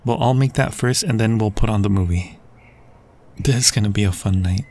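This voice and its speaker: A man's voice: fairly low pitch, fairly quick, darkish tone. A young man acting a devoted, anxious friend in love in an intimate ASMR roleplay, speaking earnestly and hesitantly. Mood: playful.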